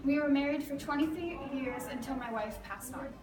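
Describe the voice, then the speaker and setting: A woman reading scripted answers in the role of a historical man, in a plain, steady way. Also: high-pitched, a little fast, lightly acted. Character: grown man, a historical Canadian figure. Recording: echoey room, far from the mic, with background noise.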